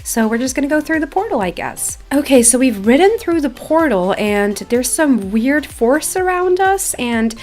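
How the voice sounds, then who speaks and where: A woman with a fairly high voice hosts a gameplay video. She talks casually and chattily, with a self-deprecating streak, while music plays behind her. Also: sing-song, normal speed, hardly any pauses, a little mellow. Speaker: gaming video host. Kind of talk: casual gameplay commentary, reading quest dialogue aloud.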